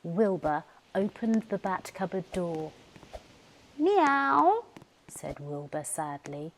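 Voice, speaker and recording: A woman narrating a children's storybook as a storyteller, in an expressive, deliberate way. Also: sits low, a little hiss or hum, poor audio. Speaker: professional storyteller.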